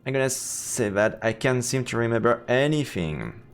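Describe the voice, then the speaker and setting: A man's voice: mid-range voice, lively intonation, normal speed, roomy sound, in a large hall. A man streaming a game, switching between let's play commentary and reading the story text aloud.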